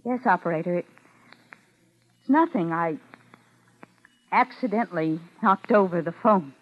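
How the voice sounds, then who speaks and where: A woman's voice: fairly low pitch, expressive, slow, a little hiss or hum. A woman playing a guarded, nervy young impostor hiding a crime, with tense control, in an old-time radio drama. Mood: nervously composed. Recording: muffled old radio recording.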